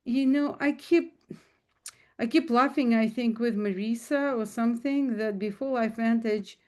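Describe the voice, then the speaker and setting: A woman's voice: a little high, moderate pace, darkish tone. A woman with a Russian accent, speaking casually to an audience on a video call, earnest and emphatic, often repeating herself. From former Soviet Union. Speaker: guest speaker.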